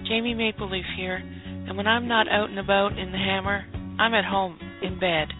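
A woman's voice: mid-range voice, fairly even intonation, moderate pace. A woman delivering a recorded promo for a radio show. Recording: tinny, band-limited with music. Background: music.

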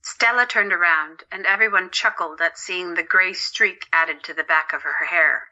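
A woman's voice: fairly low pitch, normal speed, bright, forceful tone, lo-fi sound. A woman narrating a scripted story for an audio drama.

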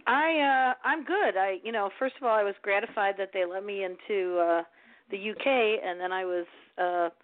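A slightly hoarse woman co-hosting a live show, chatting playfully and joking about herself. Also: mid-range voice, moderate pace. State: a bit hoarse after travel. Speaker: podcast co-host, HR professional. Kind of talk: casual chat. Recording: phone-like call line.

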